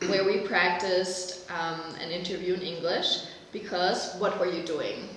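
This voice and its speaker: A woman's voice: fairly low pitch, fairly even intonation, measured pace. Young woman hosting in an echoey room. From Germany. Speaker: podcast co-host and interviewer. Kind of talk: casual podcast interview. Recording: echoey room with faint background noise.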